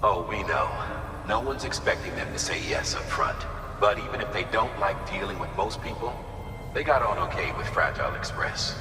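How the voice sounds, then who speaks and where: An actor playing a composed, pragmatic male commander, giving a measured briefing in a cinematic video game. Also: on the low side, expressive, lightly acted. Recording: echoey large hall, music behind.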